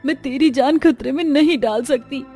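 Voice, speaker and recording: A frightened village mother played by a woman narrator in a cartoon horror tale. Her voice is high and urgent as she pleads protectively. Music plays behind. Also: moderate pace, dark tone. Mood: desperate pleading.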